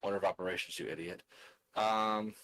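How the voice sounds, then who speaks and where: A man's voice: deep voice, steady tone, brisk, pushed and bright, low-quality recording. A man casually narrating his own moves in a tabletop card game, muttering and counting aloud as he thinks. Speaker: card game player and streamer.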